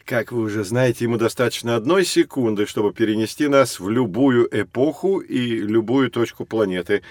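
An actor playing a kindly, encouraging professor who explains things in a friendly way in a children's audio drama. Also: male voice, mid-range voice, no echo, lightly acted.

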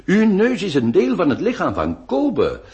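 A man's voice: sits high, huge pitch swings, darkish tone, dull audio, heavily acted. A man acting in a radio play as a self-assured, vain nineteenth-century surgeon who speaks in a formal, pedantic, soothing way. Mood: lecturing. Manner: pompous, formal, deliberate emphasis. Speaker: radio drama actor. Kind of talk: theatrical Dutch-language radio play acting.

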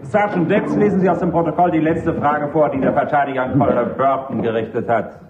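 A man's voice: a little high, fairly even intonation, fairly quick, close to an everyday voice. Man as a formal military judge, echoey courtroom. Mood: formal, stern. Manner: formal, procedural, authoritative. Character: official presiding military judge of a court-martial. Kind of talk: radio drama acting. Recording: echoey, noisy, muffled old radio recording.

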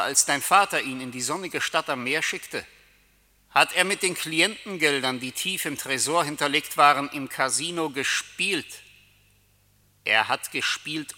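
An actor playing Death as a solemn, majestic coachman, declaiming deliberately in a radio play. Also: medium pitch, sharp tone. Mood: accusing. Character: Death personified, solemn, majestic male coachman come to collect souls. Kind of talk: German radio play acting. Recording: long reverberant hall echo.

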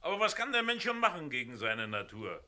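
A cheeky, self-serving turncoat in a radio crime drama, very sharp and forceful, with lively swings of pitch. He sounds insinuating and puts on a mocking respect. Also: fairly quick, full character voice. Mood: sly, unrepentant.

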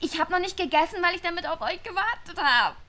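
A woman's voice: extremely high pitch, lively intonation, fast, pushed and bright, exaggerated voice. An actress playing an earnest young girl who tells her own story in an intimate radio drama. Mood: hurt, indignant. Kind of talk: radio drama narration and dialogue. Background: music.

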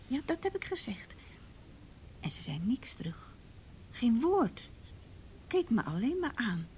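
An actress playing an anxious, well-meaning, gossipy neighbour in a radio play, speaking in a hesitant, confiding and halting way. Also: female voice, fairly high pitch, very animated, mellow, slight background noise. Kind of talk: Dutch radio drama dialogue.

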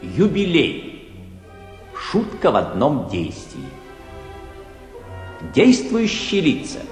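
Slow, expressive male narrator in echo. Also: fairly high pitch. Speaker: narrator reading title and stage directions. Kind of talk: scripted theatrical narration for a radio play. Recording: echoey hall reverb with music behind.

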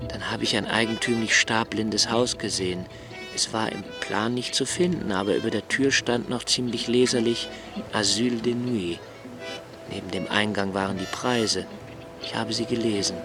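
Guy doing a broody young poet, low and slow, kinda gravelly, music under it, radio play feel. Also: lightly acted. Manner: slow, hushed, intimate, drops to near whisper. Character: young male poet narrator, anxious and observant. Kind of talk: literary radio drama reading.

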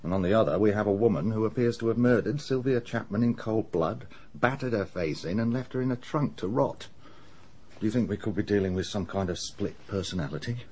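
An English police inspector in middle age, with a low, husky voice. He is plain-spoken and matter-of-fact, reasoning things through aloud in radio drama style. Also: a little fast, darkish tone. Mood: puzzled, speculative.

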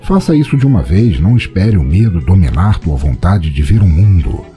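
A man's voice: low-pitched, big pitch swings, normal speed, dark tone, faint music behind. A Brazilian man hosting a podcast, reading scripted narration and listener feedback in a theatrical, playful, informal manner. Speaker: podcast host.

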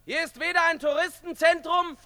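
A man's voice: very high voice, steady tone, moderate pace, pushed and bright, full character voice. An actor playing a curt, secretive male scientist in a German science fiction radio drama. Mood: sharp, commanding. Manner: curt, commanding, dismissive. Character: brusque, secretive male scientist guarding a lonely atoll.

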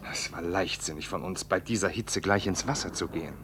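Guy acting deep voiced gruff husband in a radio play, matter of fact, bit of boat noise behind. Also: a little fast, close to an everyday voice. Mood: concerned, practical. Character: John, practical, gruff husband and family father.

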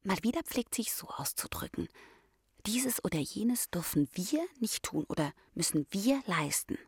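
Fairly high female voice, breathy, half-whispered, defiant young free-thinker, dry intimate monologue. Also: no echo. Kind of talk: intimate radio play monologue.

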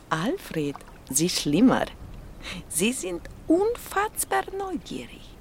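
An actress playing a blunt, playful young Slovak doctoral student with a Slovak accent in an audio drama. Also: female voice, sits high, wildly swooping pitch, extremely slow, a little hiss or hum, exaggerated voice. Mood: teasing. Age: young adult. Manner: blunt, teasing, quirky non-native phrasing.

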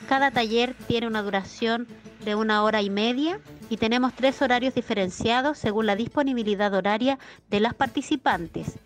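A woman with a Chilean accent giving a prepared informational statement as a programme coordinator. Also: on the high side. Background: faint music.

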